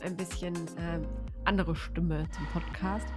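A woman's voice: on the low side, fairly even intonation, a little slow, music in the background. A woman co-hosting a casual podcast chat, sounding nasal from a cold, chatty with frequent filler sounds. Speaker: podcast co-host.

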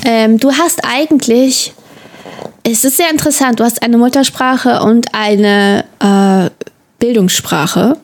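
A woman's voice: fairly high pitch, slow. A woman co-hosting a casual podcast chat, explaining things in a teasing manner. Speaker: podcast co-host.

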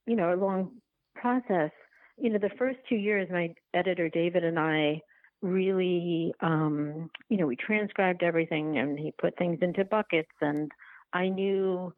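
Low-voiced woman on a tinny phone line. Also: dry recording. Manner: halting, repetitive, self-restarting storytelling. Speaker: podcast guest, documentary filmmaker. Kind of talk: casual interview talk.

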